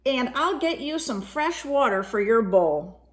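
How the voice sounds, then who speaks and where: A woman voicing a sweet, lonely male polar bear from a storybook, with expressive, earnest and childlike phrasing. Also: sits high, measured pace. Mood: fond, pleased.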